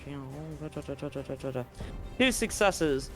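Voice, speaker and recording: A man's voice: a little high, expressive, unhurried, soft background music. A man running a live tabletop roleplaying game as game master, talking casually and swearing freely.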